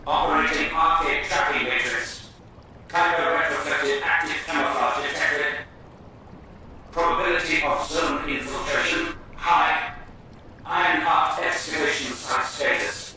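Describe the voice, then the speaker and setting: An actor voicing a menacing male cyborg commando in a video game, clipped, robotic and forceful. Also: on the high side, steady tone, a little slow, long pauses, slight background noise, poor audio. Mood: cold, menacing. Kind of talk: scripted game dialogue.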